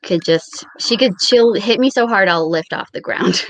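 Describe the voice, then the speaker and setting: A woman's voice: medium pitch, moderate pace, a bit bright, low-quality recording. A woman guest in a casual interview chat, talking in an offhand way and laughing between sentences. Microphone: video call.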